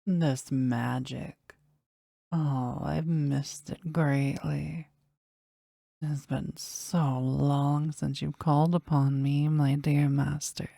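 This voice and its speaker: A powerful demon, played by a woman speaks slowly and intimately in a gravelly low voice. The phrasing is formal and reverent, possessively devoted to a master, as in a scripted audio drama recorded close and dry. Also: mellow, no echo, exaggerated voice. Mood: longing, pleased. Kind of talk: scripted roleplay audio drama, addressed to the listener.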